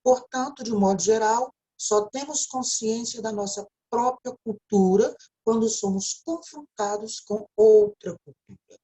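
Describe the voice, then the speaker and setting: A woman's voice: a little high, measured pace, dark tone, rough recording. A woman with a Brazilian Portuguese accent gives a recorded video lecture, explaining step by step and often checking in with a tag question. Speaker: teacher.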